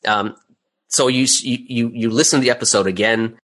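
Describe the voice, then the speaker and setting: A man's voice: medium pitch, fairly even intonation, a little fast, fairly bright tone. A man speaking casually as an interview guest, explaining things step by step and checking in with the listener as he goes. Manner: conversational, step-by-step explaining with rhetorical "right?" check-ins. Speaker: interview guest, audio drama creator and voice actor.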